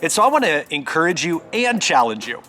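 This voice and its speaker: A man giving a conversational sermon as a pastor, earnest and emphatic, speaking directly to the listener and pausing deliberately for stress. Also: a little high, sing-song, sharp tone, faint music behind. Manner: exhorting, addressing the listener directly.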